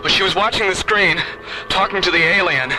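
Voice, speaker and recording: An actor in a radio drama playing an anxious space station technician, urgent and excitable. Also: male voice, high voice, a little fast, close to an everyday voice, low-quality recording. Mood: shaken. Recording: dramatic music behind.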